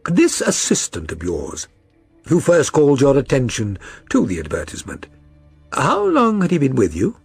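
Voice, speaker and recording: A man voicing a brisk, self-assured gentleman detective in an audiobook, precise, probing and authoritative. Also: medium pitch, wildly swooping pitch, gravelly, breathy edge, dry recording, soft background music, heavily acted. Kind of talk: audiobook character reading.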